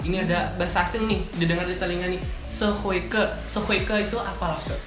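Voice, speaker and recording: A woman from Nusa Tenggara Barat presenting a promo video in a lively, inviting way. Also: lowish voice, fairly quick, echoey room, over music. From Nusa Tenggara Barat, Indonesia. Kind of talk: upbeat promo hosting.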